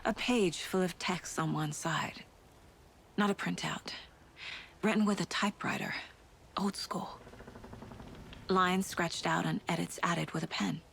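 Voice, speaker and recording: A woman acting an intuitive, composed FBI agent in a video game, speaking in an understated, deliberate way with clipped phrases. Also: lowish voice, fairly even intonation, vocal fry, a bit bright, slight background noise. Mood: focused, intrigued. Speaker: lead detective character.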